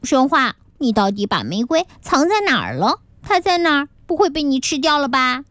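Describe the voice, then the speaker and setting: A woman's voice: high-pitched, very animated, moderate pace, fairly bright tone, dry recording. A woman voicing a pouty, teasing young child who turns whiny and squealing, in a children's story. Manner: whiny, squealing when upset.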